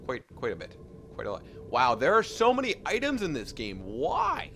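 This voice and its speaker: A man's voice: medium pitch, huge pitch swings, normal speed, vocal fry, a bit bright, slight background noise. A young man hosting a let's play, chatting casually and self-deprecatingly with many restarts while he plays. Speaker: gaming channel host. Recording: game music playing behind.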